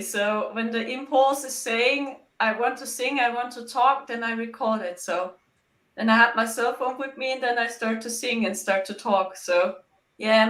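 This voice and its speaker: German woman with a German accent, bright voice, halting answers, echoey room; interview guest. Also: a little high. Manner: halting, simple phrasing with frequent "yeah".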